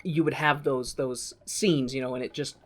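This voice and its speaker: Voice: medium pitch, steady tone, normal speed. A podcast host chatting casually through a movie recap, with crude, profane joking and bits of acted-out dialogue.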